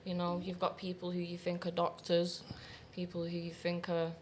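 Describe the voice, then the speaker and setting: Confident woman, low voice. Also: monotone, normal speed, a little mellow, slight background noise. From Manchester, England. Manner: confident, candid, laughs easily. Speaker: guest, professional dominatrix. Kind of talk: casual interview chat.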